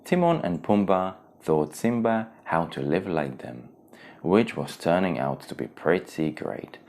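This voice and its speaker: A man narrating a children's storybook in a theatrical, expressive way. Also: deep voice, measured pace, creaky. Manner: theatrical, expressive, acts out exclamations.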